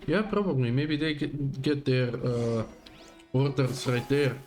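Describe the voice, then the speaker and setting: Casual game streamer: unhurried and mellow, short reactions, thinking out loud over faint music. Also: medium pitch. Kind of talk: casual live gameplay commentary.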